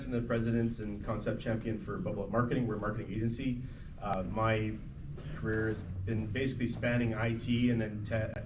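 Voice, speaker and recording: A man gives a plain, matter-of-fact introduction on a panel. His low voice stays flat. He sounds distant. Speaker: marketing agency president, panelist. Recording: distant noisy room mic.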